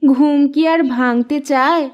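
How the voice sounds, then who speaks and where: A woman plays a cautious village housewife in a folk-tale cartoon. Her voice is high, homely and gently nagging. The sound is dull and lo-fi. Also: measured pace. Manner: homely, chiding.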